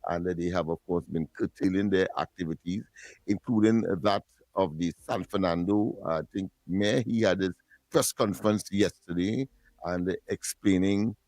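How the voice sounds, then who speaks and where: A man giving measured radio commentary in formal wording with many fillers. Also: sits low, a little mellow, dry recording, low-quality recording. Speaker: radio co-host.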